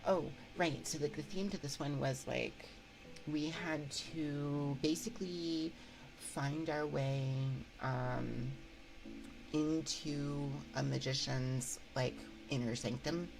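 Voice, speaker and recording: A woman livestream host chatting casually on an ASMR stream into a close binaural mic, thinking aloud in a halting, conversational way. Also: low-pitched, vocal fry, rough recording. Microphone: close binaural microphone. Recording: background music behind voice. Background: music.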